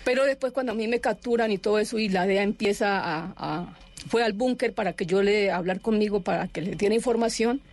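A middle-aged woman gives her own account in an interview. She talks haltingly and restarts her sentences often. She sits a little too far from the microphone, so her voice comes through distant. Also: mid-range voice, darkish tone. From Colombia. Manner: halting, with many restarts and fillers. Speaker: interview guest. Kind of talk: personal testimony in an interview. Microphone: sitting too far from the microphone.

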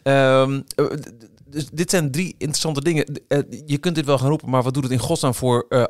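A man's voice: medium pitch, steady tone, brisk, dry recording, studio quality. A man co-hosting a podcast in a casual discussion, speaking bluntly and informally with a hesitant, stop-start delivery. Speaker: podcast co-host.

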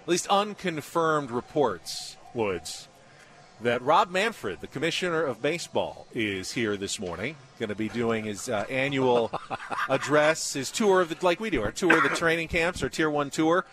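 Mid-pitched male sports radio host. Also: animated, moderate pace, fairly bright tone. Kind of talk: casual live sports talk. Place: on location at a spring training complex.

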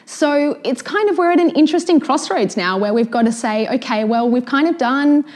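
A woman's voice: sits high, expressive, fairly quick, a little mellow. A woman speaking as a researcher guest in a podcast interview, articulate and assured.

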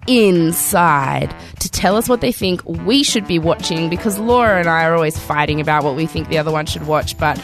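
A woman with an Australian accent hosting a casual podcast chat, her voice hoarse and croaky from a cold, chatty and enthusiastic. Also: deep voice, moderate pace, hardly any pauses, faint music behind. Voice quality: croaky, voice cracking. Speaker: podcast host. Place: podcast studio.

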